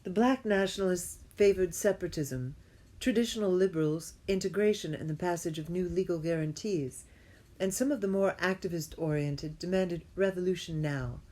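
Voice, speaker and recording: A woman reading an academic text aloud as an amateur, haltingly, often going back to repeat a phrase and correct herself. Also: on the low side, dark tone. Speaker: reader.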